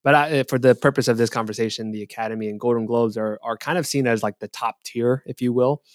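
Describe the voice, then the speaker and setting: A man's voice: medium pitch, steady tone, fast, no room sound, clean studio sound. A man speaking as a guest on a podcast, talking things through thoughtfully with hedges and restarts. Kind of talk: casual podcast discussion.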